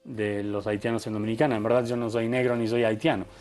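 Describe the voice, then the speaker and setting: A man's voice: on the low side, little pitch movement, fast, a little mellow, soft background music. Argentine man, faded accent, reflective interview guest. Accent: Argentine, softened. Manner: thoughtful, many restarts and tag questions. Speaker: photographer guest. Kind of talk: conversational interview.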